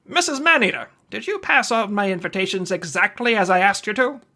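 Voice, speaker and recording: An actor playing a wry, dogged private investigator in the hard-boiled style of 1940s radio drama. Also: male voice, high-pitched, animated, a little fast, bright, forceful tone, heavily acted. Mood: wry, needling. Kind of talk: 1940s radio detective acting and narration.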